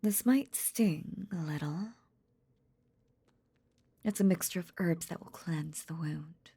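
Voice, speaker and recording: A woman playing a possessive lamia in an intimate roleplay audio drama, tenderly nursing in a breathy, half-whispered, seductive murmur with veiled menace. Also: lowish voice, dark tone, dry recording, full character voice. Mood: soothing, caring. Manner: slow, soothing, seductive murmur.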